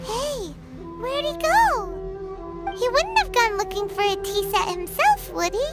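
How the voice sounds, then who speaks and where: An actress voicing a tiny, childlike fairy companion in a video game, with a squeaky voice and a naive, expressive, questioning manner. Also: female voice, slow, soft background music, heavily acted. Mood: puzzled. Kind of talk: video game story dialogue.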